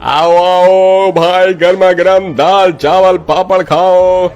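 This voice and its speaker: A man's voice: high-pitched, level tone, slow pace, darkish tone, lo-fi sound, soft background music. A man voicing a heartless street food vendor in a melodramatic story, brash and scornful. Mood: hawking. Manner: brash hawker's calling, scornful.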